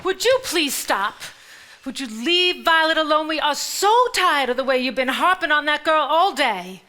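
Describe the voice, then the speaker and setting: A prim and pious woman in a 1950s stage play. Her high, bright voice swoops expressively, genteel and theatrical. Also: sing-song, normal speed, exaggerated voice. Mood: pious scolding. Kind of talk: live stage acting.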